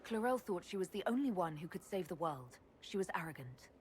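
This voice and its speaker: A firm, direct leader. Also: female voice, fairly low pitch, very animated, a little fast, slight background noise.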